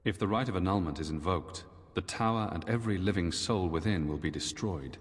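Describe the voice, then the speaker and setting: A man voicing a weary, dour Templar knight commander with formal, measured speech in a fantasy video game. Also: low-pitched, lightly acted. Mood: grave, wary. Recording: long echoing hall reverb.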